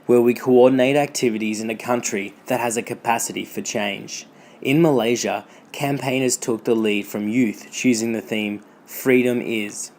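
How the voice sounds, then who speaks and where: A man's voice: medium pitch, a little mellow, slight background noise. A man delivering scripted narration as the narrator for a campaign.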